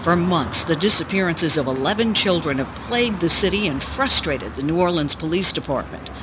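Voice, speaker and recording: An actor playing a news-style narrator, reading a scripted dramatic report in an audio drama. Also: male voice, on the high side, animated, brisk, background noise, close to an everyday voice. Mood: grave.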